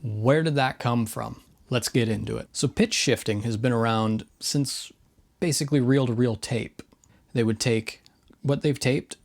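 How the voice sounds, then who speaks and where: A middle-aged man talks through an informal explainer in a medium-pitched voice. He sounds casual and offhand, like a guitarist hosting his own video. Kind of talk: informal explainer narration to camera.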